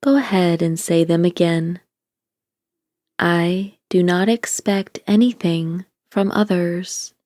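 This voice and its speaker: A woman narrating a scripted guided meditation in a calm, soothing, deliberate way, giving gentle instructions. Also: lowish voice, no echo, studio quality.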